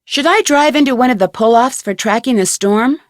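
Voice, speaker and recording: A plucky, inquisitive young American female detective, played by a woman in a video game. Her voice sits fairly high and is recorded close and dry. She talks conversationally and crisply, as if puzzling things out. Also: normal speed, no echo, lightly acted. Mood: thoughtful, practical. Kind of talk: scripted video game voice acting.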